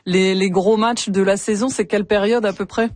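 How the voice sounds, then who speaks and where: A woman's voice: mid-range voice, fairly even intonation, moderate pace, darkish tone, no echo. Female radio host, mid voice, dry studio, light friendly prompting. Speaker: radio interviewer. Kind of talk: radio interview questions.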